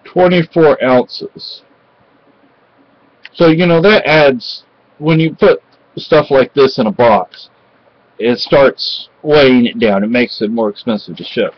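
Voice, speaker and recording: Rambling middle-aged man on a dull webcam. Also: mid-range voice. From Spain. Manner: rambling, halting, many fillers and restarts. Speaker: vlogger. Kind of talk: casual home vlog chat. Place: cluttered home room with bookshelves. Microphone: webcam at close range.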